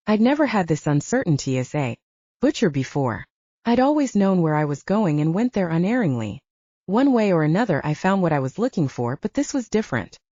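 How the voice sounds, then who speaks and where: A woman's voice: on the low side, dark tone, no echo, muffled recording. A woman reading first-person fiction as a scripted audiobook narration.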